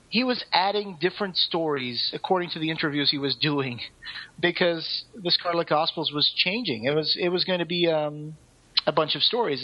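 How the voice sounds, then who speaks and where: A man with a slightly high voice co-hosts a podcast over a dull, lo-fi recording. His delivery is informal and conversational. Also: pushed and bright. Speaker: podcast co-host. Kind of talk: casual podcast chat. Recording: dull, lo-fi voice connection.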